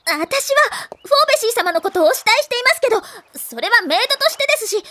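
A woman's voice: very high-pitched, very animated, fast talker, sharp tone, heavily acted. A woman playing a devoted, fussy young maid who speaks politely and emphatically in an anime-style audio drama. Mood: flustered, insistent. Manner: polite, emphatic, attentive servant speech.

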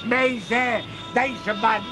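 A man's voice: extremely high pitch, steady tone, extremely slow, music in the background, heavily acted. An actor playing a blustering, self-pitying old father with an Amsterdam accent in a radio comedy, theatrical and indignant. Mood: indignant, accusing. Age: older.

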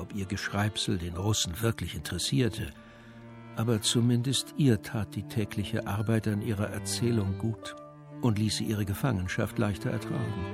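Crime radio play narrator, an actor: composed man, lowish pitch, creaky gravel in the voice. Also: lightly acted. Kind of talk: scripted radio drama narration.

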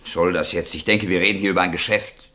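Ein Schauspieler spielt in einem deutschen Hörspiel einen nervösen, verlassenen Mann, der ungeduldig und kurz angebunden eine Waffe kaufen will. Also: male voice, sits low, steady tone, fast, bright, forceful tone. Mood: irritated. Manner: curt, evasive, irritable. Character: tense, jilted man impatient to buy a revolver. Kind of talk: German radio play acting.

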